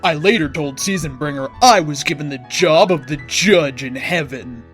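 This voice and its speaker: A man with a slightly high voice reads a story aloud in a dramatic narrator's style. His delivery is deliberate and theatrical, and faint music plays behind him. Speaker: host reading fan fiction aloud. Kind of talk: dramatic scripted story reading.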